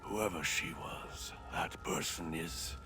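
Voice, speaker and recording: An actor playing a mad, blind old prisoner, a sorrowful former priest in a fantasy video game, speaking breathily and haltingly in formal, archaic phrases. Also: male voice, low voice, whispered. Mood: urgent, cryptic. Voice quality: breathy, gravelly, wavering. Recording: game music under the voice.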